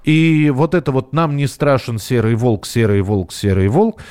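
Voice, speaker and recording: A man with an average-pitched voice hosts a casual live phone-in show. He speaks informally and hesitantly, working out his thoughts as he goes. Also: animated, normal speed, a little mellow, clear, resonant tone. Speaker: radio host.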